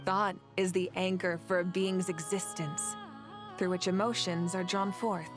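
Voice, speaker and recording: A woman's voice: lowish voice, a little slow, lightly acted. A woman as a serene, wise mystic. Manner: calm, oracular explaining. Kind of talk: solemn video game lore monologue. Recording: music behind the voice.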